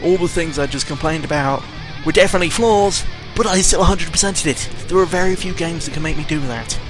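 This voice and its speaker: A man's voice: a little high, a little fast, poor audio. A young man ranting casually about video games, exasperated and full of fillers. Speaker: gaming vlogger. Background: video game music.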